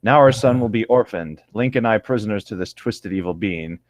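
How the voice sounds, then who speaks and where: A man's voice: fairly low pitch, fairly quick, no echo. A man co-hosting, chatting casually and reading a story aloud in a playful, theatrical, mocking way. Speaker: co-host.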